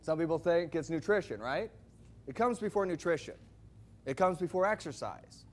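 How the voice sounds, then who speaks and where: A man giving a loud, projecting sales presentation to a live audience, firing questions at the crowd. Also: fairly high pitch, expressive, moderate pace. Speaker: product presenter.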